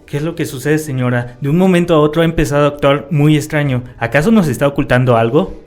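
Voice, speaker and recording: A man's voice: on the high side, fast, a little mellow, faint music behind, natural voice. Polite, inquisitive policeman played by a man. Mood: concerned, suspicious. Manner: formal, questioning. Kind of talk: radio drama acting.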